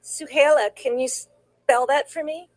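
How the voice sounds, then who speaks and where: Female questioner, high-pitched, short simple interview questions. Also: steady tone, measured pace, darkish tone, no room sound. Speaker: questioner in a listening exercise.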